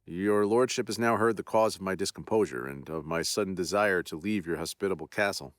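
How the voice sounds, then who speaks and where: Shaken proud general, formal and earnest; low voice, dry close sound, read by a man. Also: brisk, no echo, natural voice. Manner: earnest, formal period speech. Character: shaken proud male army general confessing terror.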